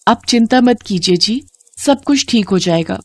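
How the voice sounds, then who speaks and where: A woman voicing a gentle young village wife in a storybook tale, soft, respectful and reassuring. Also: medium pitch, expressive, measured pace, dry recording. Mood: soft reassurance.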